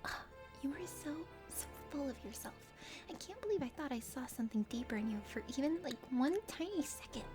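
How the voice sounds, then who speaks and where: Lady playing a fed-up young woman guide in a game, high breathy voice, super animated, scolding. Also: brisk. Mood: exasperated. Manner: indignant, scolding. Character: exasperated young woman mountain guide, stubborn, plain-spoken. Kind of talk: dramatic game character reading. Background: music.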